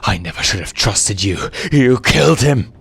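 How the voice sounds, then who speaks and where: A man's voice: on the low side, expressive, normal speed, creaky, a bit bright, husky, exaggerated voice. A man voicing an anguished adult lion king in a dramatic story reading, with strained, pleading outbursts. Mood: enraged, horrified.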